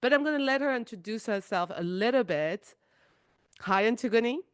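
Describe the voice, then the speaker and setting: A woman's voice: on the high side, animated, normal speed, clean tone, no room sound, studio quality. A woman co-hosting a podcast, chatting in an effusive way with frequent restarts. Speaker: podcast co-host. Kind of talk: casual chat.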